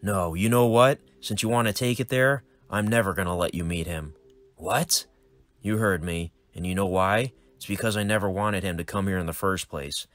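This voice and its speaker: A weary middle-aged hitman father, protective of his son, voiced by a man. The voice is fairly low, and the recording is close and dry. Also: fairly even intonation, normal speed, a bit bright, dry recording. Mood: angry.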